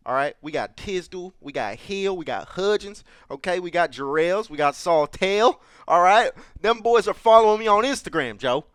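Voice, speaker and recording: A man's voice: a little high, fairly bright tone, smooth, no echo. A man playing a brash, profane male college football coach who brags and swears while talking to an interviewer. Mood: boastful. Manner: boastful, crude, keeps addressing the host by name. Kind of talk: improvised comedy interview sketch.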